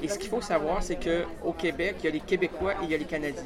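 A man with a Quebec French accent chatting with a guest, direct and explanatory, pausing often on fillers. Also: on the high side, steady tone. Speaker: radio host. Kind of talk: casual conversation. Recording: noisy crowded venue recording. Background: crowd chatter.

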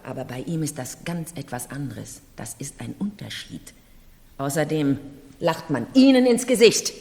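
An actress in a 1970s German radio play, playing a proud, high-strung middle-aged noblewoman with a haughty, confessional manner. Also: expressive, moderate pace, a little mellow. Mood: mocking, sharp. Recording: reverberant room, vintage radio drama.

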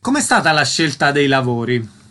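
A man's voice: mid-range voice, very animated, normal speed, sharp tone, a little hiss or hum. A man hosting an arts radio programme, presenting events and asking concise interview questions.

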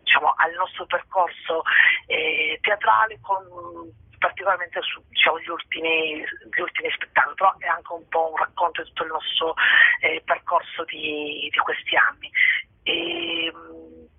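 A woman speaks in a husky, creaky voice, explaining things in a halting interview manner as she feels for the right phrase. She comes through a thin, echoing telephone line. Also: fairly low pitch, expressive. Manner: hesitant, searching for words, frequent "diciamo". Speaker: theatre company artist presenting productions. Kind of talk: informal interview answer, explaining. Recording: tinny, narrow telephone line with echo.

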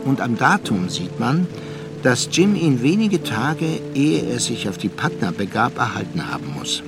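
Expressive, reflective storyteller with music. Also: male voice, medium pitch, a little slow. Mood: reflective, grave. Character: reflective, measured male narrator recounting a friend's fate. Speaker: narrator. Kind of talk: literary radio play narration.

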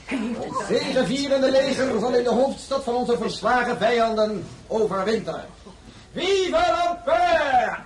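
An actor playing the emperor's pompous royal brother in a radio play, declaiming grandly. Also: male voice, very high voice, lively intonation, a little slow, roomy sound, recorded from a distance, over a noisy crowd. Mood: triumphant, declaiming.

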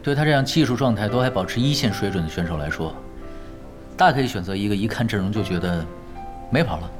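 A man's voice: fairly low pitch, expressive, fast, natural voice. A man in an audio drama playing a confident veteran gamer, nonchalant and teasing. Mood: calm, confident. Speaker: voice actor in a radio drama. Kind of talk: naturalistic audio drama dialogue. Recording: music behind the voice.

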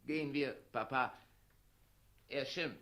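Sly, bright-voiced toady. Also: male voice, mid-range voice, takes it slowly. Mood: self-excusing. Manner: glib, self-excusing. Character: servile, opportunistic adult son of a mayor, sly informer. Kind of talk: 1960s German radio play acting.

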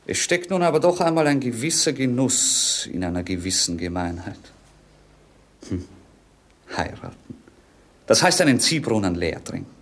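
Young prince, bored, witty, mocking, mid-pitched, theatrical 1950s radio drama delivery. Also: male voice, big pitch swings, normal speed, pauses often. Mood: melancholy, restless. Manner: theatrical, ironic, mannered stage delivery. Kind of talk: 1950s German radio play acting.

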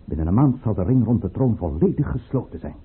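Commanding, husky, low-voiced conspirator count. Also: male voice, very animated, quick pace, heavily acted. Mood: resolute. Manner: declamatory, commanding, rhetorical. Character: ruthless, fervent count leading a conspiracy against the tsar. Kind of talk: Dutch radio play acting. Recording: muffled, narrow-band old radio recording.

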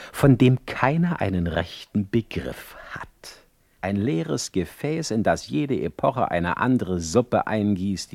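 Brooding poet in a radio play, low gravelly voice, theatrical and ironic. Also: male voice, a little mellow, no echo, heavily acted. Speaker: actor, narrator of a radio play. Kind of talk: literary radio play monologue, letter read aloud.

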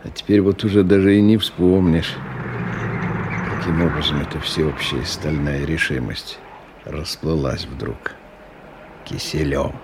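A man's voice: a bass voice, unhurried. An actor playing a weary, cynical middle-aged businessman in a radio drama, with a wry, sardonic delivery. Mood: bitter, musing. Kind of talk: radio drama acting, monologue and dialogue. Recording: noisy 1990 radio play recording.

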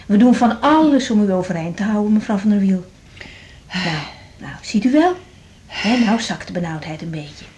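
An actress playing a young hospital doctor in a radio drama, direct, practical and caring though harried. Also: female voice, mid-range voice, animated, normal speed, darkish tone, close to an everyday voice. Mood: soothing, reassuring. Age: young adult. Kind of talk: broadcast radio drama acting.

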